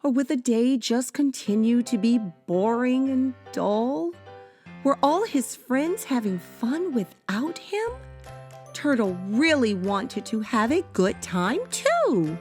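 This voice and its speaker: A woman reading a children's picture book aloud in an expressive storytelling style. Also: fairly high pitch, slow pace. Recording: children's music under the voice. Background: children's music.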